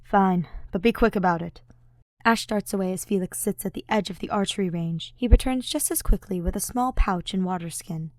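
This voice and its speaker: A woman narrates a piece of fiction in an audiobook style. Her voice sits at a middle pitch, and the recording is close and dry, with very little room sound. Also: fairly quick, few pauses, a little mellow, no room sound.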